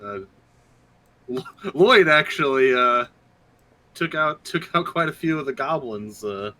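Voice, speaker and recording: A man chatting casually as a player in a tabletop game, halting and often repeating the starts of his phrases. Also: mid-range voice, animated, pushed and bright.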